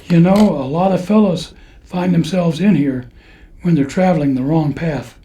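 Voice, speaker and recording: A man's voice: fairly high pitch, moderate pace, warm tone, lightly acted, poor audio. A man playing an eccentric, kindly church caretaker who talks in a folksy, knowing, preachy way in a western radio drama. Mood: cryptic, admonishing. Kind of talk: old-time radio western acting.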